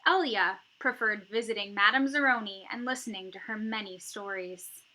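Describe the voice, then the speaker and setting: A woman teacher reading a children's novel aloud, with expressive, emphatic phrasing and dramatic pauses. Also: mid-range voice, poor audio.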